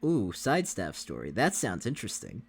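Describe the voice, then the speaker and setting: A man's voice: lowish voice, huge pitch swings, normal speed, gravelly, husky. A man co-hosting a reaction livestream, chatting casually with the viewers. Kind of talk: casual chat with stream viewers.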